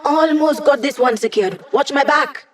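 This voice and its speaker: A woman's voice: high voice, moderate pace, lightly acted. A young woman voicing a wry, quippy digital hacker who gives mission support in a video game, in a conversational way. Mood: focused, urgent.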